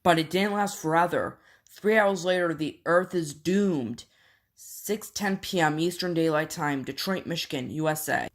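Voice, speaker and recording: A woman reading a scripted emergency broadcast as an announcer, in formal, solemn, measured phrases. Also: deep voice. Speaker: emergency alert announcer and scenario narrator.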